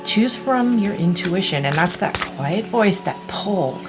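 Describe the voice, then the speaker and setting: A woman in her thirties giving a tarot reading in a soft, reassuring way, clearing her throat as she loses her voice. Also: mid-range voice, expressive, over music. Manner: soft, slow, reassuring delivery with many restarts. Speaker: tarot reader. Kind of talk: pick-a-card tarot reading.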